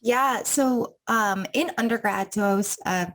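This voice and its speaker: A woman's voice: a little high, measured pace, a bit bright. A young woman from Rhode Island, a counselor, talking thoughtfully as an interview guest with many ums. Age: young adult. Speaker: counselor and wellness coach.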